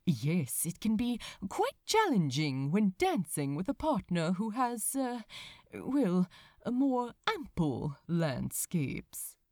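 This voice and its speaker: A woman voicing a refined, mischievous gentleman who teases with prim mock propriety in a storybook reading. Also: mid-range voice, very animated, no room sound, studio quality, exaggerated voice. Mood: mock-proper, teasing. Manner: prim, mock-proper, deliberate innocence. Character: refined, mischievous gentleman, teasing dance tutor.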